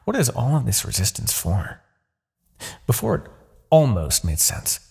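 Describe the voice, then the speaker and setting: A demon king in a fantasy roleplay audio, immortal, smug and coldly persuasive. The voice is very husky and thick with gravel, and it swings expressively up and down. He lingers on words with theatrical, condescending menace. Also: medium pitch, wildly swooping pitch, a little slow, darkish tone, full character voice. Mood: exasperated, taunting. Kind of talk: fantasy ASMR roleplay acting.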